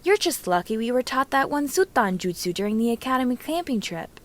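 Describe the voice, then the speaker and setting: Storybook role: young kunoichi, read by a woman, dry, teasing, quick to retort. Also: a little high, sing-song.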